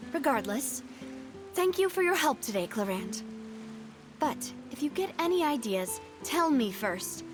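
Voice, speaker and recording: A guarded, determined young woman speaks firmly in a video game. Her voice is high, with clear music underneath. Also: very animated, slow pace, long pauses, exaggerated voice. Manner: earnest and firm. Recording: game audio with music behind.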